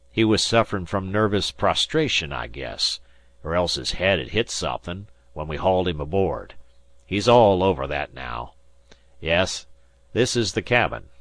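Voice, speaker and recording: Male reader as gruff, fair-minded schooner captain for an audiobook, folksy and rustic, low register. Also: fairly bright tone, dry recording, exaggerated voice. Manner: rustic, folksy turns of speech. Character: gruff, fair fishing schooner skipper, adult man.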